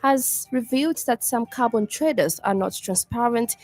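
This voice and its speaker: A woman's voice: a little high, normal speed, a little mellow. A woman with a Zambian accent reading a scripted news bulletin as a radio news reader. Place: radio studio.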